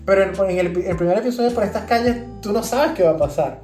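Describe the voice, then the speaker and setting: A woman's voice: medium pitch, expressive, fast talker, warm tone, echoey room, faint music behind. A woman with a Venezuelan accent chatting casually as a podcast co-host, explaining things through examples.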